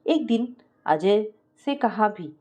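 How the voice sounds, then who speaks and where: A woman's voice: mid-range voice, lively intonation, takes it slowly, dark tone. A woman narrating a scripted family story as a storyteller, acting out the dialogue as she reads.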